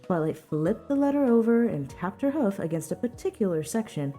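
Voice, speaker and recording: A woman's voice: medium pitch, sing-song, fairly quick, mellow, faint music behind. A woman reading a story aloud as narrator in a scripted narration style. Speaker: narrator, fan fiction reader.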